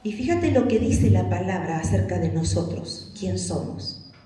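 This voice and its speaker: Argentine lady preacher, acts out little scenes, warm voice, big churchy echo. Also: lowish voice, lively intonation, unhurried. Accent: Rioplatense Spanish. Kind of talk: sermon. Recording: echoey church hall.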